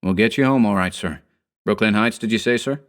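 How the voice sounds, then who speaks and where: A man voicing a calm, polite taxi driver who talks in an easygoing, soothing way. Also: fairly low pitch, brisk, close to an everyday voice.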